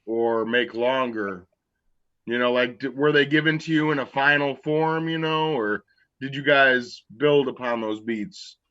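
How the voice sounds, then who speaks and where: A man's voice: medium pitch, fairly even intonation, measured pace, bright, forceful tone. A man co-hosting a podcast, asking questions hesitantly and rephrasing as he goes. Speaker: podcast co-host. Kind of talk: casual podcast conversation. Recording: dull lo-fi sound.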